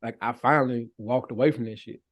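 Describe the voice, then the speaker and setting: A man's voice: mid-range voice, quick pace, lo-fi sound. An American man in a casual chat as a podcast guest, speaking candidly and colloquially, often repeating his phrases.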